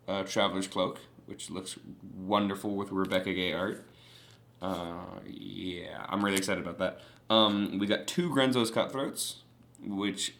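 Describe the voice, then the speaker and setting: A man's voice: low voice, fairly bright tone, a little hiss or hum. A young man chatting casually and eagerly in a vlog, showing off trading cards with lots of fillers. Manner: chatty and enthusiastic, many fillers.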